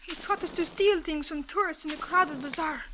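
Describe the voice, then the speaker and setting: Woman as very high-voiced frightened beggar boy. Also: normal speed, close to an everyday voice. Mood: pleading, confessing. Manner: formal, earnest, pleading. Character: frightened, deferential young Egyptian beggar boy. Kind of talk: 1940s radio drama acting. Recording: noisy vintage radio recording.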